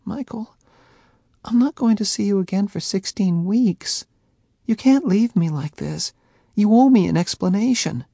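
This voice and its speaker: A man's voice: sits high, expressive, measured pace, creaky, warm tone, slightly rough, dry recording, studio quality, pitched above the performer's own voice, full character voice. A man reading an audiobook, voicing a heartbroken young woman who pleads tearfully and flares into anger. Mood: hurt pleading. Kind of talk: audiobook character voice.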